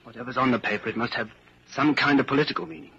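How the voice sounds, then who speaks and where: An actor playing an anxious, suspicious office worker in a 1940s radio drama, tense and brooding in delivery. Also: male voice, mid-range voice, rapid-fire, vocal fry, sharp tone, husky, exaggerated voice. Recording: scratchy, muffled 1940s radio broadcast.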